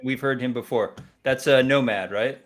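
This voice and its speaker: A man's voice: mid-range voice, moderate pace, a loud crowd. A man co-hosting a music radio show with casual, easygoing, informal banter.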